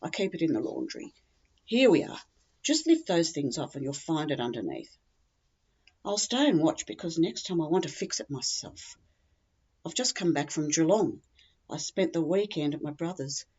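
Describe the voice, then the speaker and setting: A woman's voice: sits low, big pitch swings, normal speed, darkish tone, husky, exaggerated voice. Woman playing a chatty, tactless older Australian woman, muffled recording. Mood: chatty, self-satisfied. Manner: rambling, matter-of-fact, oblivious, opinionated. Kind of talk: humorous monologue reading.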